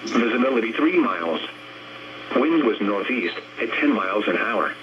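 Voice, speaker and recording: A man's voice: on the low side, very animated, normal speed, muffled recording. An American man's synthetic voice reads a scripted weather bulletin formally and impersonally through a radio speaker. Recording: very noisy radio speaker playback.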